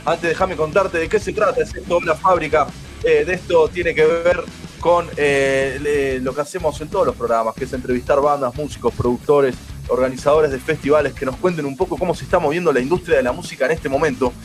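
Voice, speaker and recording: A man, hosting a radio show and chatting excitedly over a remote call. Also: on the high side, faint music behind. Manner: fast, enthusiastic, slangy. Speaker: radio host. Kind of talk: casual radio chat.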